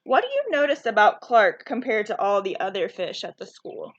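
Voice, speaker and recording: A woman's voice: mid-range voice, wildly swooping pitch, moderate pace, muffled recording. A woman narrating a children's storybook aloud, telling it expressively and putting questions to her young listeners.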